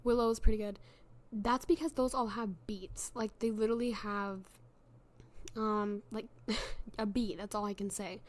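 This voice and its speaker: A woman's voice: mid-range voice, steady tone, measured pace. A young woman hosting a casual solo podcast, chatty and self-deprecating, with many fillers and restarts, her voice worn from being sick. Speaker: podcast host.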